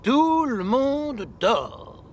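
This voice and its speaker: A man's voice: very high voice, big pitch swings, very slow, slight background noise, full character voice. A man playing a grumpy, haughty steam engine who scolds in exasperation in a cartoon dub.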